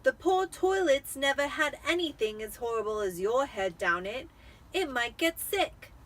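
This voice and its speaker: A woman's voice: high-pitched, lively intonation, a little slow, a bit bright, full character voice, poor audio. A tipsy woman voicing a cheeky, dry-witted boy in a storybook reading.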